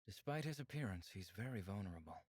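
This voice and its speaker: An actor playing a calm, caring alien man in a visual novel, speaking in a measured, earnest way. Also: lowish voice, slightly rough, no echo. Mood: calm, explanatory. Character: calm, caring male alien, protective symbiont host.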